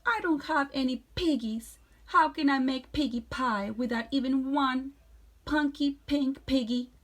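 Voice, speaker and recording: A woman's voice: high-pitched, unhurried, voice pushed up. A woman voicing a greedy, impatient old witch who screeches and cackles. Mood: frantic.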